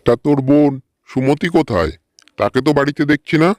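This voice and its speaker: Man as a higher-pitched cartoon village father. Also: expressive, brisk, a little mellow, no echo, studio quality, close to an everyday voice. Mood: accusing. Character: village father, suspicious of one daughter, cautious. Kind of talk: cartoon story voice acting.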